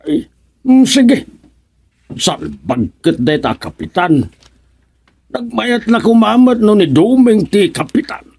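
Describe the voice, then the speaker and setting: A man playing a fussy, excitable older villager in a radio comedy drama, speaking with an Ilocano accent and lots of exclamations. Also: high-pitched, slow, gravelly, pauses often, slightly rough, heavily acted. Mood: fussing. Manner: exclamatory, pleading, animated.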